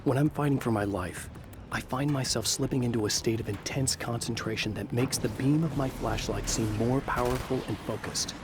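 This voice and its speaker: Weary haunted writer voiced by a man, narrating a horror game, mid-pitched, low-key and measured. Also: over music, natural voice. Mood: reflective.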